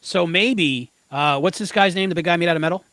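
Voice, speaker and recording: A man's voice: high voice, a little fast, sharp tone, no echo. A man co-hosting a podcast, chatting casually in a joking, offhand way. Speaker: podcast co-host. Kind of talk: casual banter about a show.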